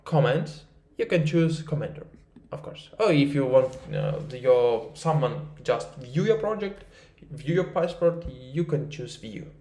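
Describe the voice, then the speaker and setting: Slow-talking Russian-accented man. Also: a little high, darkish tone, reverberant. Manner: slow, halting, many fillers and restarts. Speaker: marketing research instructor. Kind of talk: screen-recorded tutorial.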